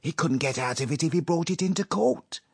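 A man playing an excitable scheming father in a story reading, declaiming pompously with drawn-out exclamations. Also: very high-pitched, vocal fry, dry recording, clean studio sound, voice pushed up, exaggerated voice. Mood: urgent, excited.

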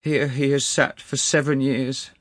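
A man's voice: mid-range voice, unhurried, a bit bright, dry recording, clean studio sound, voice pushed up. A man voicing an anguished, loyal male clerk in a dramatic storybook reading, deferential and pleading. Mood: distraught, urgent. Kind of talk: dramatic storybook character acting.

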